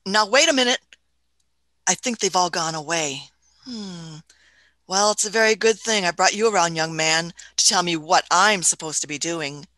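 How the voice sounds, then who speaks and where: Woman playing eccentric elderly lady adventurer, bright voice, smug, fussy, muttering, radio play, close dry sound. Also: fairly low pitch, normal speed, dry recording. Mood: grave musing. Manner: hesitant, muttering, chuckling old-fashioned speech. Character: elderly eccentric female time traveler, smug, fussy, affectionate.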